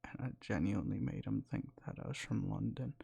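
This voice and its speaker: A young man whispering in a soft, rambling way close to the mic, sleepy and sometimes losing his words, for boyfriend roleplay ASMR. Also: fairly low pitch, brisk, vocal fry, slightly rough, highs cut off. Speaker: ASMR creator.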